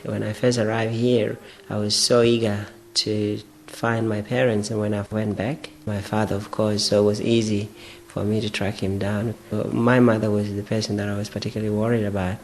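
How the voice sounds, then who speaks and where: A man from South Sudan giving a calm personal account in a flat, even way. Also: on the low side, moderate pace, a little hiss or hum, low-quality recording. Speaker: interviewee.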